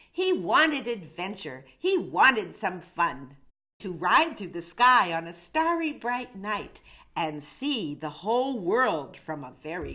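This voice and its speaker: A woman author reading her own rhyming children's storybook aloud in an expressive, measured verse delivery. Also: mid-range voice.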